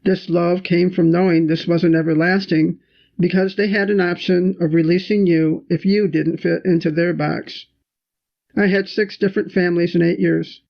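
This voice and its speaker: An older woman, an elder, slowly reciting her life story in a measured, reflective spoken-word style. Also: fairly low pitch, fairly even intonation, gravelly. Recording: dull lo-fi video recording.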